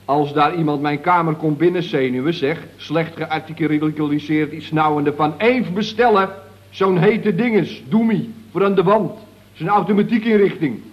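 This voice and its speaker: A man's voice: fairly high pitch, moderate pace, dull audio, natural voice. A man playing a pompous, mocking colleague in a radio comedy, wordy and mangling grand words, on an old recording. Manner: pompous, wordy, over-elaborate diction with malapropisms.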